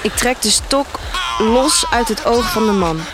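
A woman's voice: on the high side, measured pace, very noisy, close to an everyday voice. A woman playing a detached young female protester in a dystopian audio drama, narrating in literary first person. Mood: intense, brutal. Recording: music under the voice. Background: music.

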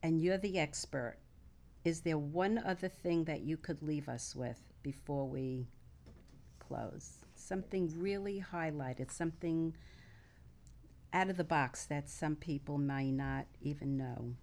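A woman's voice: low voice, unhurried, darkish tone. A woman co-hosting a radio talk show, asking questions in a direct, conversational way. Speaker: radio show co-host, interviewer. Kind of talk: conversational interviewing.

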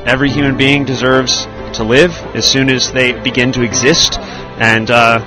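A man's voice: medium pitch, flat delivery, normal speed, over music, poor audio. A man at a march, earnestly answering a street interviewer from a little way off the mic. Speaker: march participant. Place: outdoors at a march.

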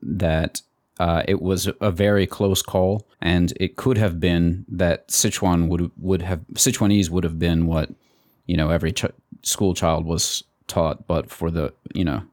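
A man co-hosting a podcast, explaining things casually and haltingly, repeating words. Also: low voice, flat delivery, darkish tone, no room sound, clean studio sound. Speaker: podcast co-host. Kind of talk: casual conversation.

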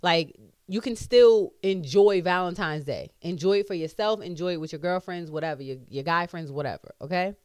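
A woman's voice: lowish voice, moderate pace, a little mellow, no room sound, studio quality. An American woman in her thirties chatting casually as a podcast co-host, emphatic, slangy and given to swearing. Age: 30s. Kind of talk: casual opinionated chat.

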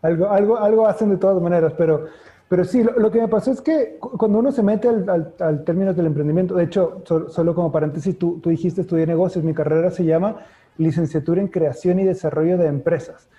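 A man's voice: high-pitched, fast talker, warm tone. A man in his thirties with a northern Mexican accent, a guest in an interview, explaining things at length with frequent restarts. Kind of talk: conversational interview.

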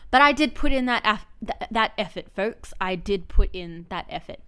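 A woman's voice: mid-range voice, expressive, moderate pace, sharp tone, clean tone. A woman in her thirties with an Australian accent hosting a podcast solo in a chatty, wry, self-deprecating way. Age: 30s. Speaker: podcast host.

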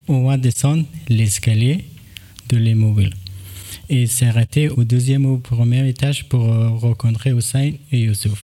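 Dark-voiced Afghan man narrating carefully. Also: mid-range voice, steady tone, slight background noise. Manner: careful learner's French with pauses and fillers. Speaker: host presenting his neighbours. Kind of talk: narrated documentary vlog.

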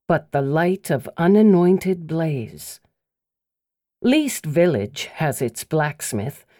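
A woman narrating literary essays and verse as a scripted audiobook, with measured, deliberate, precise phrasing. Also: deep voice, mellow, dry recording, clean studio sound.

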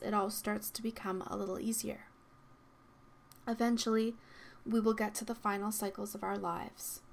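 Soft-spoken young woman podcast host. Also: mid-range voice, creaky, a little hiss or hum. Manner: soft, reflective, with frequent ums and likes. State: slightly losing her voice. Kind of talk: solo podcast monologue. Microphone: podcast microphone.

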